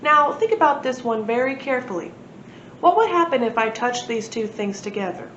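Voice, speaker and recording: A woman's voice: on the high side, expressive, normal speed, a bit bright, roomy sound, dull audio, slight background noise. Woman playing a confident tall-tale dad. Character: father narrator, calm, bluffing tall-tale hero.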